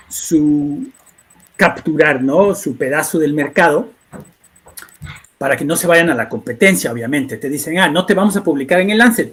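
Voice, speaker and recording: A middle-aged man from Ecuador speaks in a fairly high register as he lectures in a webinar. He talks loosely, restarting phrases and checking in with tag questions. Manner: frequent fillers and restarts, rhetorical tag questions. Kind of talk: lecture-style talk.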